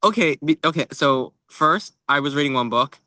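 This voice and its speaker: Young guy on a book podcast, bright forward voice, blunt and chatty, says like a lot, dry sound. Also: on the high side, moderate pace, no room sound. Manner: blunt, emphatic, frequent "like". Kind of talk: casual book chat.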